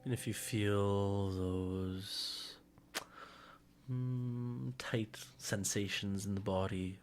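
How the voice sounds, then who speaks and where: Low, slow-spoken man guiding a meditation. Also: fairly even intonation. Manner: soothing, unhurried phrasing with audible sighs and hums. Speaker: meditation guide, music therapist. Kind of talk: guided meditation. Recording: music playing over voice, online video call. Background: meditative instrumental music.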